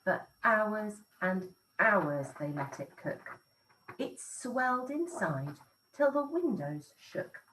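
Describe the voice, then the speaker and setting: Unhurried woman teacher reading a children's book, sing-song and expressive, a bit off-mic. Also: mid-range voice, far from the mic, a little hiss or hum, low-quality recording. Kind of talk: reading a rhyming picture book aloud.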